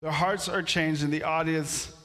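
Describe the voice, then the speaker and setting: French-native pastor guy preaching, kinda high voice, big church reverb, gets worked up in bursts. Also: normal speed. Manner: storytelling, builds to animated outbursts. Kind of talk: sermon. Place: church sanctuary.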